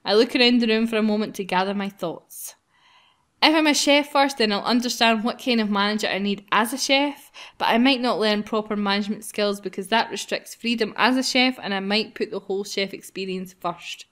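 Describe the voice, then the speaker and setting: A woman's voice: medium pitch, fairly quick, a bit bright. A playful, giggly woman hosting a gaming video, reading a visual novel aloud and bantering casually. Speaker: gaming video host, narrator.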